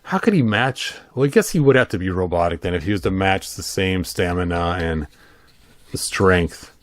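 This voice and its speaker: A man's voice: low voice, expressive, moderate pace, no echo. A middle-aged man giving a casual, playful, rambling read-along commentary as the host. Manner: playful, rambling, self-amused, adds sung sound effects. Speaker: host of a toy and storybook channel.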